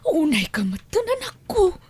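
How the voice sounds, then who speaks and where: A woman's voice: very high-pitched, wildly swooping pitch, normal speed, a little mellow, full character voice. A woman acting a pleading, insistent middle-aged mother burdened by debt in an Ilocano audio drama, with wheedling delivery.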